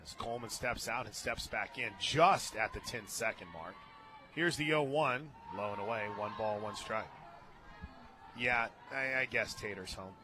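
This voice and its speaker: A man with an American accent doing live play-by-play radio commentary of a softball game, moving between chatty asides and excited calls. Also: mid-range voice, normal speed. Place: open radio booth at a ballpark. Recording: outdoor stadium noise behind the voice. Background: ballpark ambience.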